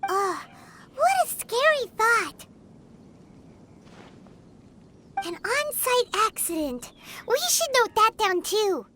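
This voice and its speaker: Very high-pitched little fairy girl. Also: very animated, slow, sharp tone, a little hiss or hum, exaggerated voice. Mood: uneasy. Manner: exclamatory, childlike. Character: small floating fairy girl companion, excitable and chatty. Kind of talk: video game voice acting.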